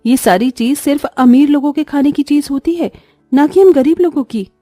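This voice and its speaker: A woman's voice: high-pitched, normal speed, dark tone, no echo, studio quality, faint music behind, natural voice. A woman playing a poor, self-sacrificing young mother in a cartoon story, earnest and plaintive. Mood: reproachful. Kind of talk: Hindi cartoon story voice acting.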